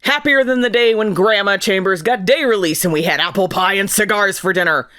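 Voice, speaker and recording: A woman playing a gruff, sarcastic female military captain in an audio drama, barking and mocking theatrically. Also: mid-range voice, fairly quick, fairly bright tone, dry recording, clean studio sound, close to an everyday voice.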